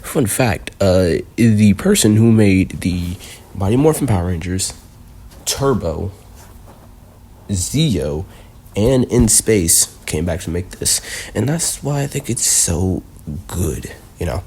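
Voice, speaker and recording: A man's voice: low-pitched, animated, slow, a little mellow, husky. A man hosting a casual countdown video, rambling and leaning heavily on fillers. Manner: rambling, heavy on fillers like "you know". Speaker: video host.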